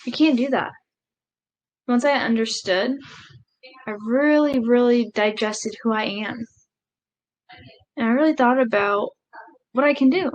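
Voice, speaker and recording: Woman voicing a signed speech live, kinda high voice, lots of pauses n restarts. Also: dull audio. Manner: halting, with pauses and self-corrections. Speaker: sign language interpreter voicing a speech.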